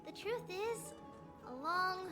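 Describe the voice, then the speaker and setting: A woman's voice: very high-pitched, animated, slow, over music, full character voice. A woman voicing a small, cheerful childlike girl demigoddess in a video game, with a playful, lilting delivery.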